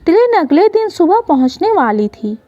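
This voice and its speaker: A woman narrating a scripted emotional story in an expressive, dramatic reading style. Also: very high voice, moderate pace, no echo, muffled recording.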